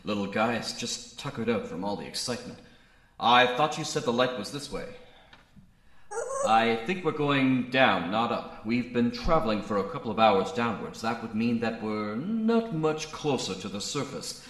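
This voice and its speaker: An actor playing an easygoing, folksy space adventurer in a pulp sci-fi radio serial. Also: male voice, mid-range voice, big pitch swings, normal speed, a bit bright, reverberant, a little hiss or hum. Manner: folksy, colloquial.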